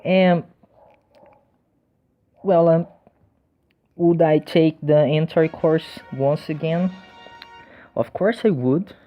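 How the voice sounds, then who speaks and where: Slow-talking man. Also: a little high, soft background music. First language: Portuguese. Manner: hesitant, many restarts and repeated words. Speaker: language learner sharing tips. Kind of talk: casual talk to camera. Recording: dull lo-fi recording.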